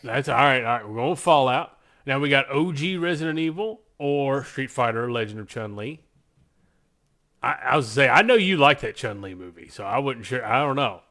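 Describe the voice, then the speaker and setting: A man's voice: mid-range voice, expressive, moderate pace, sharp tone. A man co-hosting a podcast, chatting casually in an informal, slangy, emphatic way. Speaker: podcast co-host. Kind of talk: casual chat, picking movies in a bracket game.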